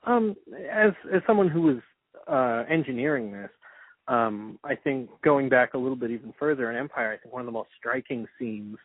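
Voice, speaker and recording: A man interviewing conversationally, with a tentative, halting and deferential manner. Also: medium pitch, expressive, dry recording, phone-quality sound.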